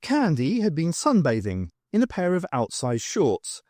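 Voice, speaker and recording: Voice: mid-range voice, wildly swooping pitch, a little slow, a little mellow, no echo, clean studio sound. A narrator reading a prose story as a scripted audiobook.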